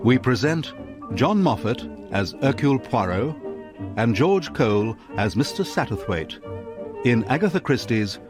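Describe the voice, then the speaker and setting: Male announcer, mid-pitched voice, scripted radio credits, clear music underneath. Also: sing-song, unhurried.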